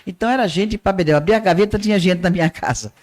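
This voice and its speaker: An older woman from Northeast Brazil with a softened Northeastern accent, telling her life story as an interview guest in an unhurried way. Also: medium pitch. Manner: unhurried storyteller with small restarts. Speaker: guest, art teacher and radio presenter.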